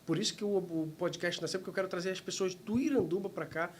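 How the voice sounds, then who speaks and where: Measured motivational podcast host. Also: male voice, high voice, slight background noise. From Manaus, Brazil. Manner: measured, motivational, speaks in long flowing sentences. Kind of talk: interview hosting, reflective monologue.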